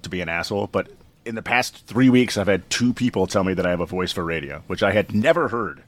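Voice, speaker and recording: A man in his forties co-hosting a casual podcast with wry, animated asides. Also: deep voice, fast talker. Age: 40s. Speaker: podcast co-host.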